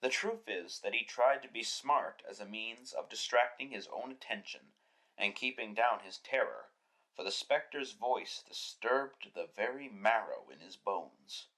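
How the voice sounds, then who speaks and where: A man's voice: fairly low pitch, pushed and bright, rough recording. A man narrating a story in dramatic, scripted classic radio style.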